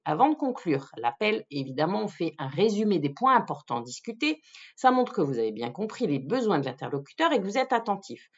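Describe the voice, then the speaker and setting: A woman presents as a sales coach, with pitch that moves up and down in a lively way. She explains things step by step in a didactic, instructional style. The recording is a little dull and lo-fi. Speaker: phone sales coach presenting a tips video. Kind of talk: instructional talk to viewers.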